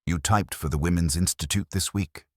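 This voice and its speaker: A man playing a gentle, thoughtful, tactful police inspector, speaking courteously and deliberately with wry understatement in a radio mystery. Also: sits low, no echo, studio quality. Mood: gentle, probing.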